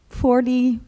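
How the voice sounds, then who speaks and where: A woman from Syria, telling a personal story to an audience in a slow, reflective way. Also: sits high, mellow. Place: library event stage.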